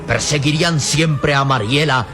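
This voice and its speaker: High-voiced male radio serial narrator, dramatic. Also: moderate pace. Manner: solemn, theatrical, declamatory. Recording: radio serial with prominent background music.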